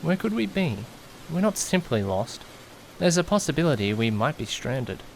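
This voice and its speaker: A man's voice: a little high, big pitch swings, normal speed, vocal fry, a little hiss or hum. A man playing an anxious, easily panicked young man who narrates his own misadventure in an audio drama, dramatic and questioning himself. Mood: anxious, alarmed. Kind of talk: first-person audio drama narration with acted dialogue.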